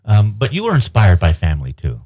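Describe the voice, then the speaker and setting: A male radio host whose pitch rises and falls a lot as he questions his guests. He talks haltingly, stopping and starting again with many fillers. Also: huge pitch swings, mellow. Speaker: radio talk show host. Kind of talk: hosting a radio panel interview.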